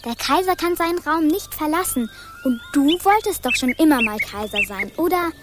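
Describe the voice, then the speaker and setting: An actress playing a confident child in on a scheme in a radio play, explaining things conspiratorially. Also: female voice, sits high, animated, moderate pace, noisy background. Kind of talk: German radio play acting. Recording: loud music behind.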